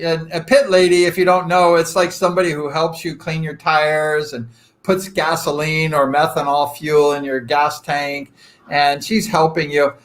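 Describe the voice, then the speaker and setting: A man's voice: fairly high pitch, fairly bright tone. A man around sixty from Southern California, hosting a casual live chat with viewers in a rambling, joking way with lots of fillers. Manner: rambling and chatty, self-deprecating joking, frequent fillers. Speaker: live-stream host.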